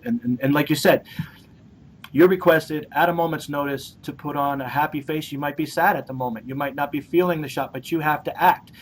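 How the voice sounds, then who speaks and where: A man hosting a conversational interview, rambling and repeating words as he works toward his questions. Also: mid-range voice.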